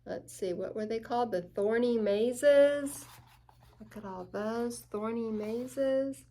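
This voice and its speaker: A woman's voice: on the high side, slow pace, warm tone. A woman hosting children's story time, reading a picture book with dramatic emphasis and questions for young listeners.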